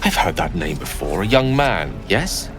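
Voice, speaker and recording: A courteous, genial adventurer with animated, swooping intonation. He is polite and eloquent, as in a fantasy video game, and music plays clearly behind him. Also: sits low, normal speed. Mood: politely curious. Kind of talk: video game character acting.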